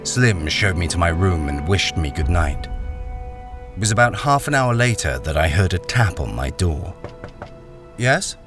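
A male actor voices a plain-spoken miner narrating an audio thriller in the first person. He is guarded and measured in his storytelling, with a deep voice, expressive rises and falls, and clear music behind him. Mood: wary, storytelling.

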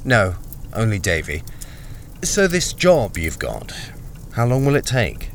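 A man's voice: lowish voice, huge pitch swings, slow pace, gravelly, full character voice. A man playing a wary, hard-up army deserter who is the hero of a radio thriller. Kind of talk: radio thriller narration and dialogue. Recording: noisy, rain-soaked radio drama recording.

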